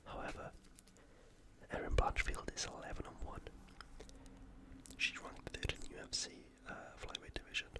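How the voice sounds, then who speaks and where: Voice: normal speed, pauses often. A host whispering an informal, opinionated sports preview in ASMR style, all breath and no voice. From United Kingdom.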